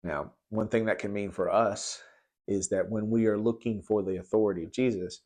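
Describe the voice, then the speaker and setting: Low-voiced man teaching the Bible. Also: steady tone, a little mellow. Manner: halting, conversational, repeats words. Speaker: preacher giving Bible commentary.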